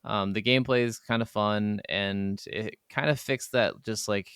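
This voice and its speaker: Clear-voiced man chatting casually, dry sound. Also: lowish voice, fairly even intonation, unhurried, a bit bright, dry recording. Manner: informal, swears casually. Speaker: gaming podcast co-host. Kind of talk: casual chat about video games.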